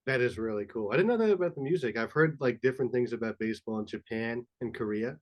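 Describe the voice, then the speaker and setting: A man's voice: medium pitch, fast talker. A man hosting a sports podcast, asking curious questions with enthusiastic interest. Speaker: podcast host. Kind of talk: casual podcast chat.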